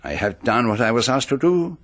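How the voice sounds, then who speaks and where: An actor playing a vain, precise celebrated detective in a radio drama, with a pompous, formal manner. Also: male voice, medium pitch, very animated, fairly quick, a bit bright. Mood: formal, self-important.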